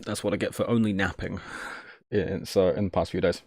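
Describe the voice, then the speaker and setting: A man's voice: deep voice, level tone, brisk, gravelly, darkish tone, husky, dry recording, clean studio sound. A man casually thinking aloud on a live tech stream, jokey and self-deprecating, muttering each step as he works.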